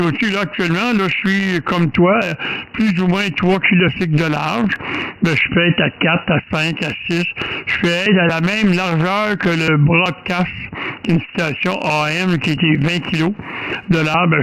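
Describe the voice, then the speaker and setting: Male ham radio operator, Québécois accent, slightly high gravelly voice, halting conversational explainer. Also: fairly bright tone, low-quality recording. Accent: Quebec French. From French-speaking Canada. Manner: halting, searching for words, repeats himself.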